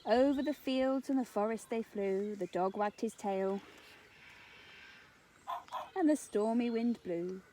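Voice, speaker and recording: A woman narrating a rhyming children's story in an expressive, theatrical, rhythmic way. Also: on the high side, slow, long pauses, slight background noise.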